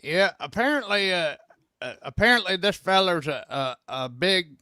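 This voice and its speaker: A man playing a grumpy old country man with a gruff, folksy drawl. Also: high-pitched, big pitch swings, normal speed, bright, forceful tone, no echo, clean studio sound.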